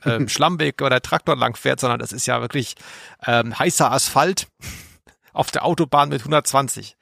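A man's voice: medium pitch, creaky, fairly bright tone, no echo, clean studio sound. A man co-hosting a podcast in humorous casual chat, explaining things like a joking mock expert. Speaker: podcast co-host.

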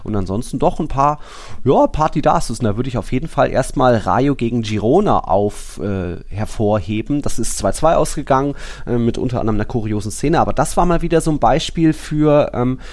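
A man's voice: mid-range voice, expressive, fairly quick, darkish tone. A man co-hosting a football podcast, commenting casually in an informal, loosely rambling, colloquial way. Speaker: podcast co-host.

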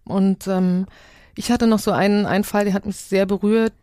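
A woman's voice: medium pitch, steady tone, moderate pace, warm tone, no echo, studio quality. A woman giving an informal, spontaneous first-person account as a discussion guest, thinking aloud as she searches for words. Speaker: guest, author of an audio documentary project.

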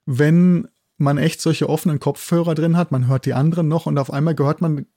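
Male interview guest, middle-aged expert. Mellow delivery in a dry close sound, keen explainer who often tags phrases with a check. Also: fairly high pitch, fairly even intonation, brisk, no room sound. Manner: enthusiastic, often ends phrases with a checking tag. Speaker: guest expert, zoology institute head and sound producer.

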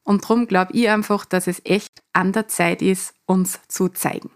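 A middle-aged woman from Lower Austria with a Mostviertel dialect accent, earnest, hosting a solo coaching podcast. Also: fairly even intonation, a little slow. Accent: Mostviertel Austrian dialect with a touch of Salzburg. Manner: earnest, speaks in dialect rather than standard German.

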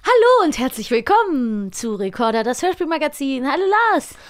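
A woman's voice: high-pitched, very animated, moderate pace. A woman co-hosting a podcast in casual chat, talkative with many fillers and short agreements. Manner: chatty, frequent fillers and backchannels. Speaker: podcast co-host.

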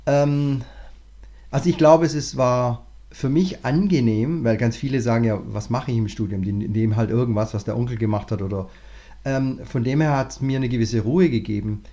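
Mellow-voiced man, musing aloud. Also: medium pitch, normal speed, dull audio. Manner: thoughtful, many fillers and restarts. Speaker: guest, management consultant. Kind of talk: relaxed interview conversation. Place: lives in Cape Town, remote interview.

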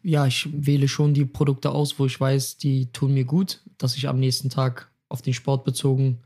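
A man in his mid twenties answering interview questions in a measured, self-assured way. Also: medium pitch, completely flat delivery, a little mellow, breathy edge. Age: 20s. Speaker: interview guest. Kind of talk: casual chat.

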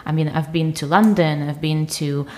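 Young woman, grew up in ireland, kinda low voice, chatty with lots of ums. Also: normal speed. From Ukraine. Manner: relaxed, lots of fillers and restarts. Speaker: interview guest, entrepreneur. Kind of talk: casual interview conversation.

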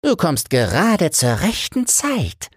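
A man voicing a scornful old female sea witch from a fairy tale, gloating and mocking in a theatrical, drawn-out manner. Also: fairly high pitch, wildly swooping pitch, husky, no echo, studio quality, pitched above the performer's own voice, exaggerated voice. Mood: scheming.

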